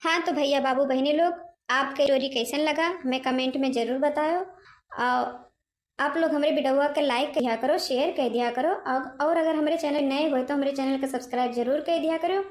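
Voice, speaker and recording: A woman's voice: high-pitched, moderate pace, echoey room. A woman with an Awadhi accent, a cartoon channel storyteller addressing her viewers.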